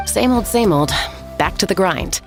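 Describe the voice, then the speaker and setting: A woman playing an easygoing, candid young female warrior in a video game, with a creaky edge to the voice. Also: mid-range voice, expressive, normal speed, lightly acted. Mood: laid-back. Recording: faint game music behind the voice.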